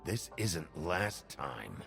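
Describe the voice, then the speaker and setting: Gravelly male sheriff, noir game, slow, very wide-swinging intonation, terse, menacing, weary. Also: sits low, big pitch swings, a bit bright, exaggerated voice. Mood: firm, threatening. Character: gruff, weary male sheriff, big bad wolf. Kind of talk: cinematic noir game acting. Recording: music behind the dialogue.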